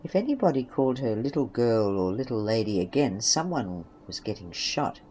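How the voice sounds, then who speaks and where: A man's voice: mid-range voice, sing-song, normal speed, darkish tone, husky. A man reading scripted story narration for an audio drama.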